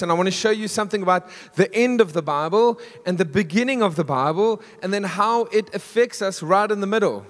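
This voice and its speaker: Male pastor, husky and fairly high, casual asides, punchy emphatic runs; church reverb. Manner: conversational, self-deprecating, builds to emphatic lists. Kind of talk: sermon. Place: church hall. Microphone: handheld stage mic.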